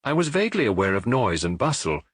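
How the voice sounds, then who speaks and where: A stubborn, burly former police superintendent, played by a male narrator. He gives unforthcoming answers in detective audiobook dialogue, with a slightly husky tone. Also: lowish voice, very animated, fast, no room sound, clean studio sound.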